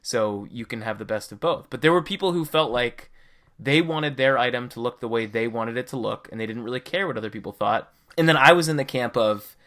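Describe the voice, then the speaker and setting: A man's voice: medium pitch, brisk, fairly bright tone. A man co-hosting a podcast, chatting casually and earnestly about a game. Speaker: podcast co-host.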